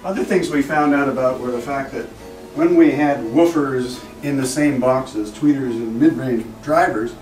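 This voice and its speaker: A man's voice: medium pitch, normal speed, a little mellow. Man presenting products in an echoey room. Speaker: loudspeaker company representative presenting products. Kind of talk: informal product presentation, technical explanation. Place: echoey indoor trade show demo room. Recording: roomy, distant mic, music in background.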